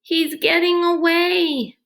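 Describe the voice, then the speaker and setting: A woman's voice: extremely high pitch, flat delivery, slow, creaky, a bit bright, voice pushed up, full character voice. A woman voicing an anxious, earnest young boy with urgent questions in a children's storybook reading. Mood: alarmed. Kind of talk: children's novel read-aloud.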